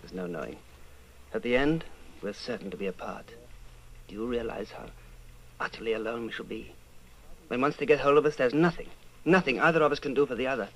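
An actor playing an earnest, fatalistic secret rebel in an old-time radio drama. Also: male voice, medium pitch, fairly quick, long pauses, close to an everyday voice, low-quality recording. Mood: intense, fatalistic.

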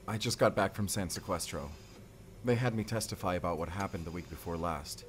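A man acting a gentle, affectionate father and partner in an intimate audio roleplay, speaking softly and hesitantly. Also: deep voice, normal speed, a little hiss or hum, close to an everyday voice. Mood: relieved, weary. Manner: soft, tender, hesitant.

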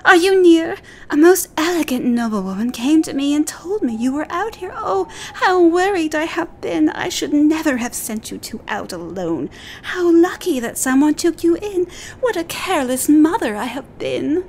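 A woman voicing a treacherous stepmother who calls out loudly in falsely sweet worry. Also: animated, moderate pace, a little hiss or hum. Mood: falsely worried. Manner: loud, shrill, falsely sweet calling.

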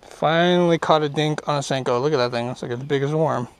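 A young man casually commenting while fishing from a small boat on a lake, easygoing and upbeat, getting excited over each catch. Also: on the high side, fast talker, clear, resonant tone. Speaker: fishing vlogger. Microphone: action camera on the boat.

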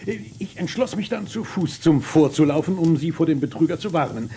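An actor playing a hesitant but dignified army general who hems and haws, in a western radio play. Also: male voice, on the high side, animated, mellow, slight background noise. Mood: halting, explaining. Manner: halting, hemming.

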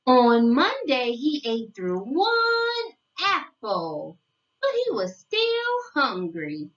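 High-pitched, sing-song female storybook narrator. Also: huge pitch swings, fairly bright tone, far from the mic, highs cut off. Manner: sing-song, deliberate phrasing. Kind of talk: read-along storybook narration for young children.

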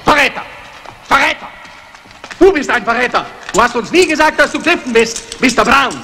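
A man playing an excited, panicky gang member exclaiming in a 1960s radio crime drama. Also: extremely high pitch, normal speed, big-room sound, full character voice. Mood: agitated, accusing. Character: agitated, panicky male gang member crying betrayal. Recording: noisy old radio play recording.

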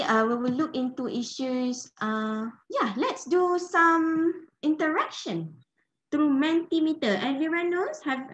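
A woman in her thirties with a Malaysian accent, teaching an online class informally and often checking in with listeners over a headset on a video call. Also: high voice. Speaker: university lecturer leading an online training. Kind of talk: interactive online lecture. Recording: dull, lo-fi video-call audio.

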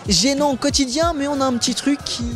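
A young man works a city street with a handheld mic, asking passers-by question after question. His voice sits high and the words tumble out fast. Music runs underneath. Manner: chatty, prompting with follow-up questions. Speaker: street interviewer. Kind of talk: casual street interview.

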